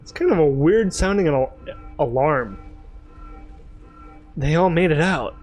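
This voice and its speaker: A man's voice: on the high side, expressive, unhurried, darkish tone, soft background music. A man doing let's play commentary, reading game dialogue aloud in a playful, theatrical way with off-the-cuff asides.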